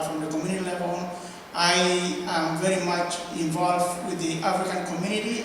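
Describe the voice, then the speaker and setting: A man speaking on a community panel in a halting way, restarting his sentences often. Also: fairly high pitch, a bit bright. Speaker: county official and community association president. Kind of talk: panel remarks. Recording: echoey hall, distant mic, noisy.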